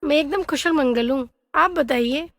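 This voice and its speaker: A gracious, doting middle-aged mother of the bride played by a woman in a family story. The voice is very high, with long reverb trailing it. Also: normal speed, fairly bright tone. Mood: courteous.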